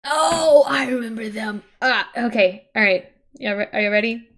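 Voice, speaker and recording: A woman hosting a livestream, chatting casually in an expressive, exclamatory way. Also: on the high side, moderate pace. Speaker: livestream host.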